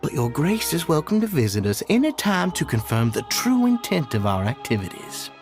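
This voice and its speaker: A smooth-tongued cult leader villain from a video game. His delivery swings widely in pitch, theatrical and pleading, with deference that sounds false. Music is clearly heard underneath. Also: huge pitch swings, normal speed. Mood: unctuous, defiant. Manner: theatrical, pleading, oily politeness. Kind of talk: animated video game voice acting. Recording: game music playing behind.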